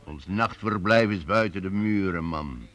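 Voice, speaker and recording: An actor playing a learned travelling minstrel master in a radio play, courteous and dignified but commanding. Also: male voice, sits low, a little slow, a bit bright. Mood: firm.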